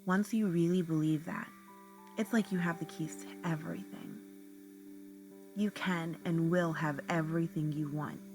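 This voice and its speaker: A woman guiding a relaxation meditation, speaking in a slow, soothing, soft-spoken way. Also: on the low side, creaky. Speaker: meditation guide. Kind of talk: guided meditation narration.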